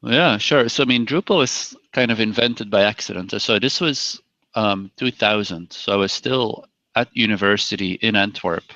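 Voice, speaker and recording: A man in his thirties from Belgium, speaking relaxed in an interview with many ums and uhs. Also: fairly low pitch, normal speed, no room sound. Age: 30s. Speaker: guest, software founder. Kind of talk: conversational interview. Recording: dull lo-fi dry audio.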